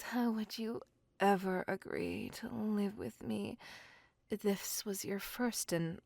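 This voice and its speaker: A woman plays a courtly, possessive female vampire smitten with a human. She speaks very slowly in a breathy, formal whisper with a gravelly creak. It is an intimate ASMR roleplay, recorded close and dry. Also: mid-range voice, darkish tone, no room sound. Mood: hungry, restrained. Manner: formal old-fashioned wording, slow and breathy.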